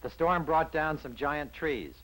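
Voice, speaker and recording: A man's voice: fairly high pitch, unhurried, fairly bright tone, rough recording. An American man reading scripted newsreel narration from around 1960 in a polished, wry manner.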